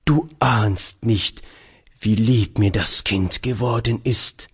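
A man voicing a kindly old grandfather who speaks in an earnest, gentle, tremulous way. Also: lowish voice, slow pace, husky, full character voice. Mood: tender.

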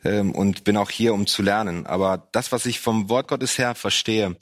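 Reflective man, low voice. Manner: reflective, halting, with many fillers. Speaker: pastor and panel guest. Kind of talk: panel discussion on parenting and faith.

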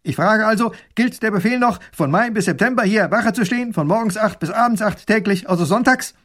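A man's voice: high voice, a little fast, a bit bright, pitched above the performer's own voice. A man voicing a proud, literal-minded soldier who answers in clipped, dutiful military phrases. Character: eager, literal-minded, proud young male guard.

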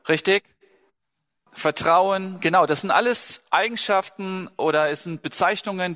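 Brief: male preacher, fairly high voice, chatty sermon, lingering hall echo. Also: a bit bright. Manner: conversational, asks the congregation questions. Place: church hall. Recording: long hall reverb.

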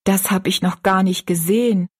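A woman's voice: mid-range voice, steady tone, moderate pace, a little mellow, husky, close to an everyday voice. A woman voicing a concerned, plain-spoken young servant girl, a loyal friend in an audiobook story. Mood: admiring. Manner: worried, practical.

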